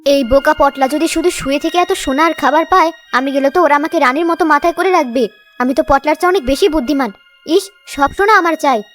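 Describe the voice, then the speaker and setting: A woman voicing a bossy, greedy, sharp-tongued village sister-in-law in a children's cartoon. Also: sits high, fast talker, close to an everyday voice. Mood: scornful, bossy. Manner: scolding, imperious. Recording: music under the voice. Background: children's music.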